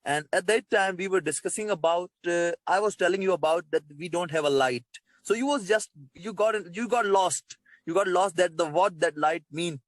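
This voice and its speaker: A man's voice: high-pitched, moderate pace, no room sound, poor audio. A man from Pakistan with a Pakistani accent and Urdu as his native language, interviewing informally with hesitant, repetitive, wordy questions.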